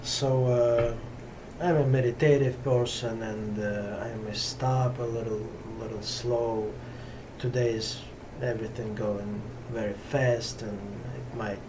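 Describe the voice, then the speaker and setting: Slow-spoken man, distant mic. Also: mid-range voice. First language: Russian. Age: middle-aged. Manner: halting, pauses to find words, many fillers. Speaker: yoga teacher. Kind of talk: slow unscripted talk to camera. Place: small hot room in a Brooklyn home ashram. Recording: camera from a distance with steady background noise.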